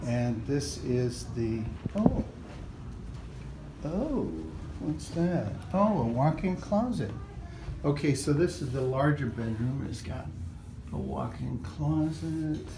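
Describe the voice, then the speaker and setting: A man's voice: fairly high pitch, animated. A middle-aged man thinking aloud as he walks through a house at an open house, filming with a handheld camera. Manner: musing, halting, thinking aloud. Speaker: house-hunting vlogger. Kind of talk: casual walkthrough commentary. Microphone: handheld camera mic. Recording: echoey rooms, background noise.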